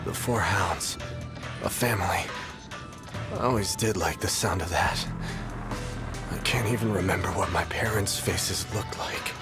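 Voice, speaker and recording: An actor playing a rough, cocky but devoted young henchman in a game cutscene, mortally wounded, with a casual, irreverent drawl. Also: fairly low pitch, natural voice. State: wounded, strained, fading. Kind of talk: dramatic game cutscene acting. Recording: voice over loud background music.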